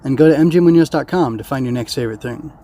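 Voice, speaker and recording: A man's voice: medium pitch, fast, mellow. A man podcast host giving a casual, unscripted solo review, thinking aloud and often interrupting himself as he rambles.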